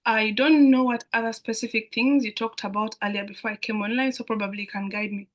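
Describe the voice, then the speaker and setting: A woman's voice: a little high, fairly even intonation, fast talker. A young woman from Uganda, a student guest on a live online Q&A, speaking over a video call and talking hesitantly with many fillers and restarts.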